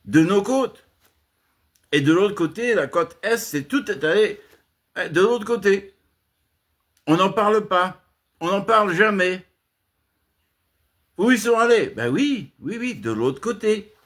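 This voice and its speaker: Animated man ranting, swinging high and low. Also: slow, long pauses. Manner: animated, swings between emphatic high outbursts and low muttered asides, many restarts. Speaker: channel host giving a monologue. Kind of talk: impassioned spoken rant.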